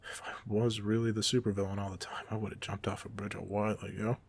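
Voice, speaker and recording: A man playing a goofy, soft-hearted supervillain off duty in an audio roleplay, talking casually with teasing, self-deprecating humor. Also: lowish voice, flat delivery, quick pace, creaky, husky. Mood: exasperated, frank. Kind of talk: ASMR roleplay monologue, casual conversational acting.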